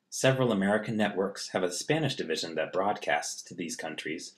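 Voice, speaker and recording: Low-pitched man reading a script. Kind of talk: scripted narration.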